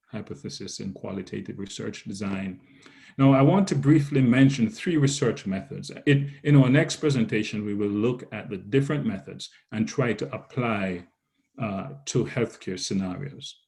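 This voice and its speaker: A man with a Jamaican accent presenting a lecture on research methods in a measured, explanatory way, stopping to restate key terms. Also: fairly low pitch, creaky, darkish tone.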